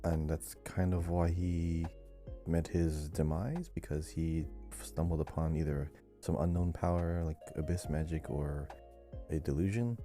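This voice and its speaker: A man co-hosting a casual gaming quiz podcast, reading questions out in a measured, deliberate way. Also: rumbling low, mellow, faint music behind. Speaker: podcast co-host and quiz reader. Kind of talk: casual video game lore quiz podcast.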